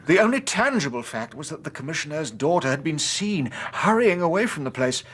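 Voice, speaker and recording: An actor playing an agitated young gentleman clerk with an English accent, hesitant, polite and excitable, in a radio drama. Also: fairly high pitch, brisk, slightly rough, heavily acted. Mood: earnest recounting.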